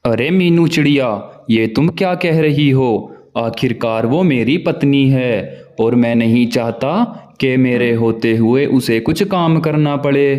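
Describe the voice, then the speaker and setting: A man voicing a grumbling, hardworking male crow husband in a cartoon, with a gruff, scolding manner. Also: mid-range voice, unhurried, few pauses, a little mellow, lightly acted. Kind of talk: cartoon voice acting.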